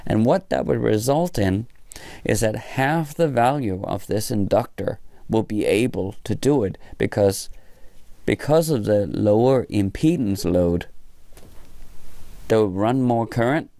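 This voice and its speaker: A man giving an informal hands-on tutorial as an instructor, thinking aloud in halting short phrases. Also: lowish voice, vocal fry, warm tone, no room sound. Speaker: electronics instructor. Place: small indoor room at a workbench.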